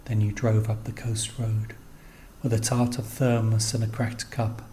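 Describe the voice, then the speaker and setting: Slow, even-toned man reading poetic prose. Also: on the low side, a little hiss or hum. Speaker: narrator. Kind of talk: scripted literary narration, poetic prose.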